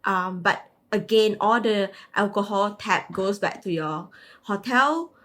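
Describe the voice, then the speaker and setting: A woman's voice: medium pitch, takes it slowly, a bit bright. A woman in her thirties from Malaysia with a Malaysian English accent, a podcast guest chatting casually and laughing often between phrases. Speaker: guest, tech manager. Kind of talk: casual interview chat.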